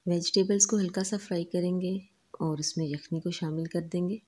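Low, husky-voiced woman teaching a recipe. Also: normal speed. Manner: calm, instructive, lists ingredients and quantities. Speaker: cooking channel host. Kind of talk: step-by-step recipe voice-over.

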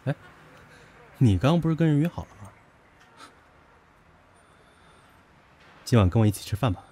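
An actor in an audio drama playing a brash, possessive younger businessman, a blunt, cocky and teasing lover who bickers gruffly. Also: medium pitch, lively intonation, fast, mellow. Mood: pushy, cocky.

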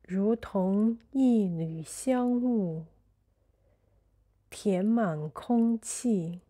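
A woman narrating a guided sleep meditation in a soothing, measured way, in short phrases with pauses. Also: mid-range voice, dark tone.

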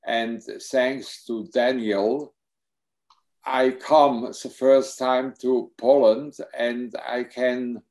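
German guy in his 60s, slow halting english, lots of uhs, mellow voice, webcam call. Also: mid-range voice, steady tone. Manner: halting, searching for English words. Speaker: psychotherapist and film subject. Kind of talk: Q&A on a video call. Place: home room with bookshelves. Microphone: laptop webcam mic on video call.

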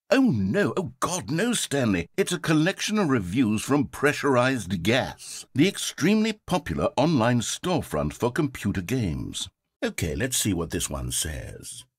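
An actor voicing a fussy, self-important male game narrator with a slightly husky voice, dry and sarcastic. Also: mid-range voice, no echo. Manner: dry sarcastic, theatrical swings from indignant to wounded. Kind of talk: scripted video game narration. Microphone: close studio mic. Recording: dry studio recording.

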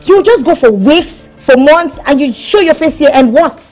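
A woman's voice: high voice, expressive, normal speed, a little mellow, soft background music. An actress playing a defiant young mother ordering her ex-partner out, speaking mixed with Yoruba. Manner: defiant and scolding, mixes Yoruba and English. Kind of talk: Nollywood drama acting.

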